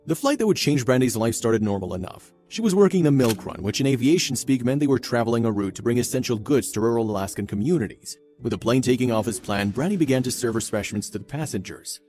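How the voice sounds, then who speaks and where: A male narrator delivers a scripted viral story at a brisk clip. His tone is mellow and soft-edged, sitting at a medium pitch, with faint music behind him. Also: expressive. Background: faint background music.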